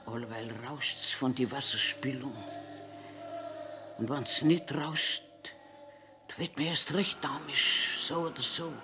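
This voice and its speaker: Cranky, slow-talking old Bavarian washroom attendant. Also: female voice, extremely low pitch, fairly even intonation, over music. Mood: weary muttering. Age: 80s. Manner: grumbling self-talk, swinging between scolding and muttering. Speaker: actor. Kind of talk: theatrical dialect monologue.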